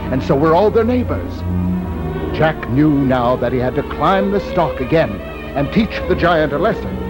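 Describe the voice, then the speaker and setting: A man with a slightly high, animated voice reads a children's fairy tale. His storytelling is expressive and dramatic, and loud music plays behind him. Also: low-quality recording. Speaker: narrator. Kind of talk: scripted children's storybook narration.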